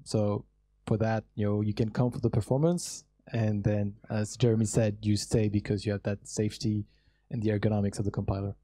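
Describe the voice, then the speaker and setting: A young man with a very dark, mellow voice answers as a panelist in a large hall. He explains technical points earnestly, with many fillers and restarts, into a handheld mic. The sound is dry and close. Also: fairly low pitch, level tone, fairly quick. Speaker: panelist, startup co-founder.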